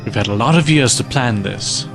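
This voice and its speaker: An actor playing a calm, capable resistance scientist in an audio drama, matter-of-fact as he explains. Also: mid-range voice, normal speed, creaky, lightly acted. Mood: explaining. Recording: music behind the voice.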